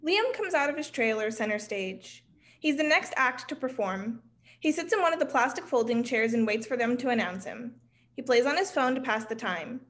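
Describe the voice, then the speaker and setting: A woman's voice: on the high side, expressive, moderate pace, a bit bright. A young woman playwright introducing her play and reading out stage directions. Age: young adult. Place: indoor room, speaking at a table and over video call. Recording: clearly noisy room sound.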